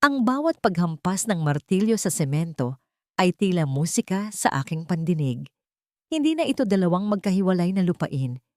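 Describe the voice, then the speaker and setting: A woman narrates a dramatic story from a script. Her voice sits a little low for a woman. The recording is close and very dry, with no room sound. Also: big pitch swings, normal speed, no echo.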